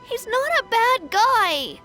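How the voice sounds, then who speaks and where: An earnest little boy in a video game, speaks in a high, childlike voice. He is loyal and takes his time over his words, with music playing behind him. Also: big pitch swings, takes it slowly, sharp tone, heavily acted. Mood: accusing, protective. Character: earnest, loyal little boy who loves beetle fights.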